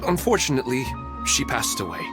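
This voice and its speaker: A man's voice: mid-range voice, very animated, brisk, lightly acted. A man voicing a polite, mild-mannered widower in a game, wistful and courteous. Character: polite grieving widower, middle-aged townsman. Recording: game cutscene with music behind.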